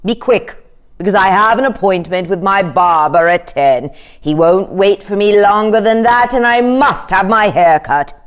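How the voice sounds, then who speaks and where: A woman's voice: medium pitch, a little slow, natural voice. Woman putting on a pompous, blustering storybook king. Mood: irritable. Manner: magnificent, blustering, emphatic. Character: pompous, irritable, self-satisfied king.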